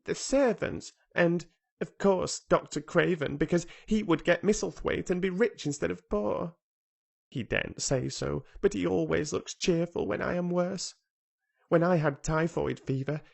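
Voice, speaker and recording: A man's voice: fairly high pitch, unhurried, vocal fry, voice pushed up, exaggerated voice. A man reading aloud who voices a petulant, sickly bedridden boy with a fretful, cross manner. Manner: fretful, cross, self-pitying.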